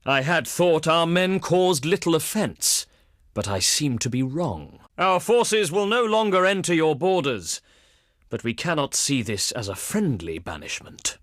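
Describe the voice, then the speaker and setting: A proud, cultured Greek statesman of antiquity for a video game. His delivery is highly animated, with a fairly high male pitch and a close, dry sound. He speaks formally and grandly, with wry, pompous flourishes. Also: a little slow, no room sound. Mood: disapproving, regretful. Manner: pompous, flowery, formal oratory. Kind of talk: theatrical game diplomacy voice lines.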